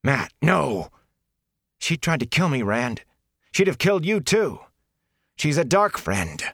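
A man's voice: lowish voice, animated, moderate pace, a bit bright, no room sound, studio quality, voice pushed up. A man voicing an earnest, weary but determined young farm lad in an audiobook, sounding strained. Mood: urgent. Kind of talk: audiobook character acting.

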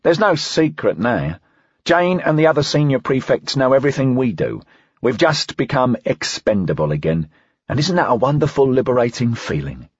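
A man's voice: mid-range voice, very animated, moderate pace, slightly rough, dry recording, muffled recording. A man voicing a brash, loyal deputy officer in an audiobook, teasing, informal and insistent. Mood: cheeky, insistent. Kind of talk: audiobook character dialogue.

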